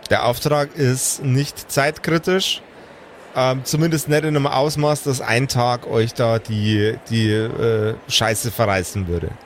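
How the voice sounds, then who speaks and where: A man's voice: medium pitch, fairly even intonation, slow pace, slight background noise. A man, speaking colloquially as the game master of an improvised tabletop game. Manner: colloquial, swears casually.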